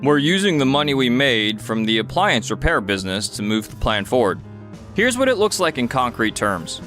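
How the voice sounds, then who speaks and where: Man acting, mild young company boss, reasonable, lively intonation, manga dub, soft music behind. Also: medium pitch, normal speed. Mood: upbeat, earnest. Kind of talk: dubbed comic drama.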